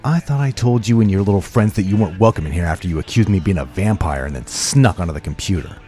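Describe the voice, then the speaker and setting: Man acting a low, menacing store clerk. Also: animated, quick pace, mellow. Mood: annoyed, scolding. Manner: scolding, threatening. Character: gruff, menacing adult male video store clerk. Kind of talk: audio drama acting.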